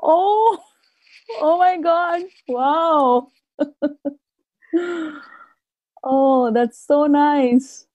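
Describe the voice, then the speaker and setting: Mellow-voiced woman from India. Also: sits high, very slow, long pauses, dry recording. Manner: warm, storytelling, laughs often. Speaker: guest speaker. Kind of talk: live interview.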